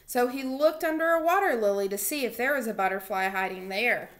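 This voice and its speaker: A woman's voice: a little high, expressive, brisk. A young woman reading aloud for a children's storytime, recorded at home in a small room on a computer mic. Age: young adult.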